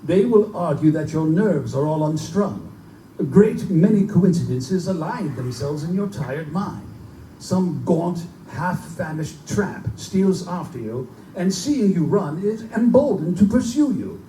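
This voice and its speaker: A man performs a scholarly, skeptical English professor in a live gothic play. The voice is soft-edged and the phrasing formal and measured. It sounds distant in a strongly echoing space. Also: fairly high pitch, lively intonation. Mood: sober reasoning. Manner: formal, reasoning, articulate. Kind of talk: live stage reading of gothic horror drama. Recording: echoey live bookstore recording from afar, faint noise.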